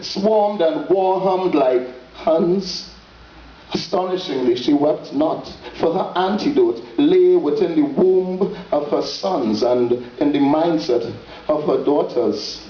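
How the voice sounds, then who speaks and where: A man performs poetry slowly, with solemn, theatrical weight and deliberate pauses. He sounds distant from the microphone, in a dull, lo-fi recording. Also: high-pitched. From Trinidad and Tobago. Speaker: poet. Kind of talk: dramatic spoken-word poetry reading. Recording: dull lo-fi, roomy echo, distant, faint noise.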